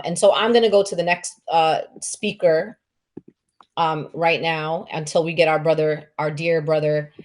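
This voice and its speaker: A woman hosting a political event, speaking off the cuff in an impassioned, emphatic way and repeating words to press her point. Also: sits low. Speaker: host and moderator introducing panelists.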